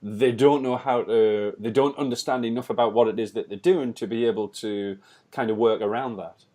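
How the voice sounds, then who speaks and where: A man's voice: mid-range voice, steady tone, normal speed, warm tone. A man co-hosting a podcast, chatting casually and backing up the guest with frequent agreement. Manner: frequent yeah backchannels. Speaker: podcast co-host.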